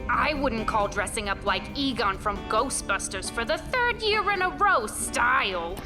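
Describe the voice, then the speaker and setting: A woman's voice: a little high, big pitch swings, a little slow. A woman playing a sardonic, short-tempered studio crew member in a campy audio comedy, full of biting sarcasm. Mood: sarcastic. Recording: music bed behind voice.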